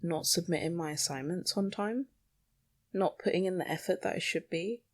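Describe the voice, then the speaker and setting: A woman's voice: on the low side, moderate pace. A young woman podcasting alone in a rambling, confessional monologue, anxious and self-deprecating. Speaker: podcast host. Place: small bedroom at home. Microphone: small microphone.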